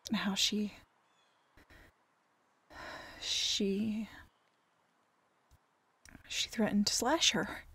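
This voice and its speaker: Troubled young female waitress, acted, ASMR-style audio drama. Slow, hushed, breathy delivery, very dry close sound. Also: mid-range voice, fairly even intonation, dry recording, heavily acted. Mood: shaken, hushed. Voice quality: breathy, often near a whisper. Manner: halting, hushed. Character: troubled, wounded young waitress daughter confiding a secret.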